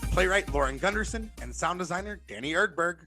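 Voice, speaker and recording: Higher-pitched male announcer over music. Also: lively intonation, fairly quick, bright, forceful tone. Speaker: show announcer. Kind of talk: scripted show intro announcement.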